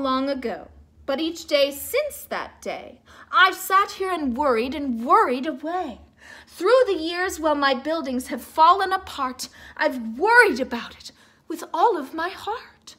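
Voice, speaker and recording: A woman reading a storybook aloud like a teacher to children, theatrical and expressive, with asides to her listeners. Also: high voice. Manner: theatrical, expressive, drawn-out emphasis.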